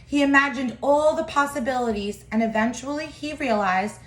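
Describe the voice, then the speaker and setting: A woman teacher reading a children's picture book aloud, with expressive, deliberate phrasing and emphasis. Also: high voice, reverberant, low-quality recording.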